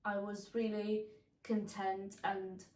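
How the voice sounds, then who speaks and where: A woman's voice: mid-range voice, flat delivery, slow, a little mellow. A young woman speaking casually as a guest in a conversational interview. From southern Italy. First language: Italian. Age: young adult. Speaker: podcast guest. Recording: echoey room, distant microphone.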